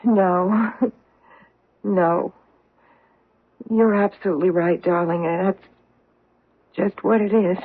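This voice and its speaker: An actress playing a guarded middle-aged wife hiding a painful past, anxious, evasive and pleading in a radio drama. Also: female voice, mid-range voice, steady tone, slow pace, pauses often, slightly rough. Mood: evasive, domestic. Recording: tinny, band-limited old radio recording.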